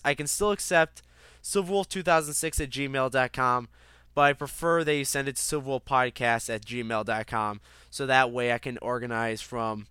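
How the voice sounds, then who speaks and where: A man's voice: medium pitch, bright, forceful tone, clear, resonant tone, no echo, studio quality. Congested young man rambling on a podcast. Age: young adult. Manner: rambling, many fillers and restarts. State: congested with a cold. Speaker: podcast host. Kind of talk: casual solo podcast monologue.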